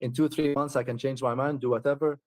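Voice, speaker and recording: A man's voice: medium pitch, fairly even intonation, fast talker, no echo. A man chatting casually as an interview guest, often laughing and restarting his sentences. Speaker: traveler guest.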